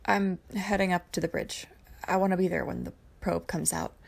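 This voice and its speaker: A matter-of-fact boy pilot from a sci-fi storybook, read by a woman. The delivery is low-key and plain, with a creaky texture. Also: steady tone, normal speed.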